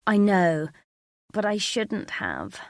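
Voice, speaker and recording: A woman's voice: medium pitch, huge pitch swings, no echo, clean studio sound, voice pushed up, heavily acted. Woman acting anxious, pleading, apologetic woman. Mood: apologetic, hesitant. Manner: pleading, halting, self-excusing. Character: anxious, apologetic, tearful adult woman, easily overwhelmed.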